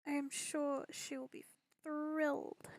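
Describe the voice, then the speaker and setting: A woman's voice: high voice, little pitch movement, takes it slowly, no room sound. A woman giving casual, wry and playful commentary as she plays through a story game. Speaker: game streamer reacting to the story.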